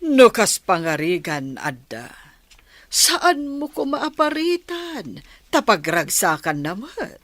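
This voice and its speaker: A woman playing a sly, manipulative middle-aged stepmother in a radio soap drama, deliberate and insinuating. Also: medium pitch, sing-song, fairly bright tone, no echo, heavily acted. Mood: feigned sweetness.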